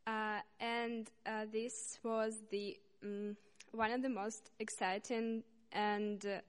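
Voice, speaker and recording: A young woman from Saint Petersburg, Russia, a student, speaking haltingly to a church congregation with many pauses and restarts. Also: on the high side, little pitch movement, clean tone. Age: young adult. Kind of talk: informal speech to a congregation. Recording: reverberant hall.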